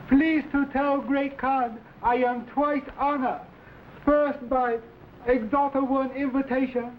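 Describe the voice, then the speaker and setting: Sly, obsequious innkeeper, stage Chinese accent, unhurried, thin vintage broadcast sound. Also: male voice, very high voice. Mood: humble, probing. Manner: obsequious, stilted broken English. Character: fawning, sly Chinese innkeeper, exaggeratedly humble poisoner. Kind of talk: 1950s television adventure serial acting. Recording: thin phone-like old broadcast, clearly noisy.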